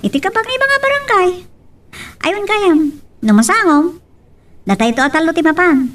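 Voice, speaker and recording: A woman's voice: very high-pitched, big pitch swings, normal speed, fairly bright tone, distant mic, full character voice. A woman playing a sociable young friend in an audio drama, with an Ilocano accent. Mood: friendly, inviting. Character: sociable young female friend doing the inviting.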